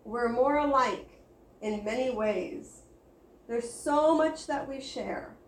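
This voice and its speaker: A woman voicing a gentle, loving mother star who softly pleads with the animals in a storybook reading. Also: fairly high pitch, takes it slowly, distant mic, slight background noise. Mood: tender, pleading. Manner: soft, pleading. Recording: roomy echo.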